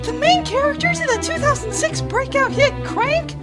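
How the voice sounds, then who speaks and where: Parody-ad child, extremely high, gushing, loud music underneath. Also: female voice, expressive, moderate pace. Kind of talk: parody commercial acting.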